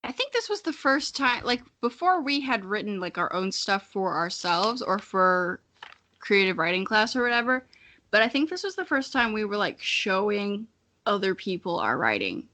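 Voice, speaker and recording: A woman's voice: medium pitch, very animated, moderate pace, pushed and bright, slight background noise. A young woman co-hosting a podcast in casual chat, candid and self-mocking, with easy swearing. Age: young adult. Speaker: podcast co-host. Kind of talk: casual chat between friends.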